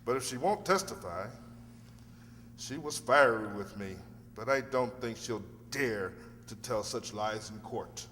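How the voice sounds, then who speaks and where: A man playing an earnest, worried defense lawyer in a radio drama, speaking in a measured, hesitant way. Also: medium pitch, lively intonation, sharp tone. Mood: concerned. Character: earnest, worried defense lawyer, male, reassuring his client. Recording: noisy recording with long hall reverb.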